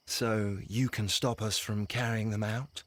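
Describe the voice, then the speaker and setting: An actor playing an embittered, defiant common man who leads a rebel gang, speaking in an oratorical way in a video game. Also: on the low side. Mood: mocking.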